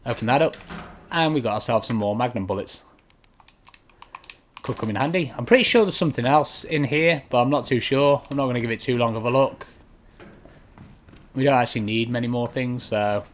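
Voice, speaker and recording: A man's voice: mid-range voice, brisk, long pauses. A man giving casual video game walkthrough commentary, explaining each step as he goes. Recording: faint keyboard and click noise.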